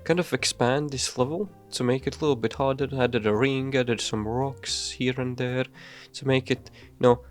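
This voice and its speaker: A man's voice: mid-range voice, steady tone, music in the background. Male devlog narrator, casual and self-mocking, mid-range voice. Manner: loose and offhand, frequent swearing and fillers; self-deprecating, hurried. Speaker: game dev YouTuber.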